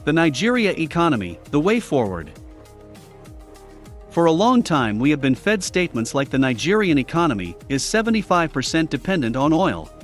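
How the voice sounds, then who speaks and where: Male audiobook reader, fairly high voice, lively intonation, soft music underneath. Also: moderate pace. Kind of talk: reading a nonfiction book aloud.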